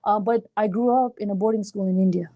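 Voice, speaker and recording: A woman's voice: medium pitch, fairly even intonation, fast talker, mellow. A woman from Nepal, hosting a casual conversation and telling her story in a rambling, chatty way. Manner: chatty and rambling, many restarts and fillers. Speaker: host.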